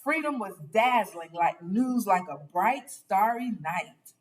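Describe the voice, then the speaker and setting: Slow-reading woman storyteller, slightly distant. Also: mid-range voice, expressive. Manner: dramatic, deliberate phrasing. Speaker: storyteller reading a picture book aloud. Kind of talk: expressive children's read-aloud narration.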